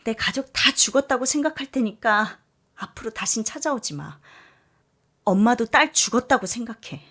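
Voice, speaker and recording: A woman's voice: fairly high pitch, lively intonation, normal speed, pitched above the performer's own voice. A woman playing a fed-up, bitter daughter. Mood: furious. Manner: raised, heated and sharp.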